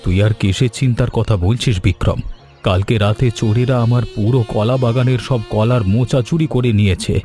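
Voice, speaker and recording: A man voicing an old village banana farmer in an animated story, speaking earnestly and plaintively with melodrama. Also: mid-range voice, a little fast, soft background music, lightly acted. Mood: lamenting. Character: distressed old male village banana farmer, lamenting but resolute. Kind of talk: Bengali animated story dialogue.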